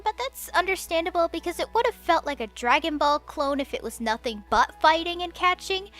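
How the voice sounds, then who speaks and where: A young woman reading a scripted movie review as a voice-over, enthusiastic and expressive with casual slang. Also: very high-pitched.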